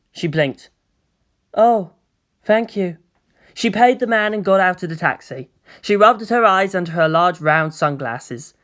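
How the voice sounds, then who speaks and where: A reader narrating a long fan fiction chapter aloud in a steady storytelling manner. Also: mid-range voice. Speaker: fanfic reader.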